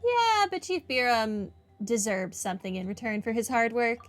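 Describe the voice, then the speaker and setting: A woman's voice: a little high, big pitch swings, normal speed. A woman gamer giving casual playthrough commentary and reading some dialogue aloud. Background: faint game music.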